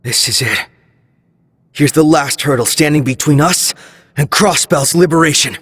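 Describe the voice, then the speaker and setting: An actor playing an earnest, resolute young male squad leader in a video game cutscene, with a husky voice. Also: on the high side, moderate pace, fairly bright tone, in a large hall.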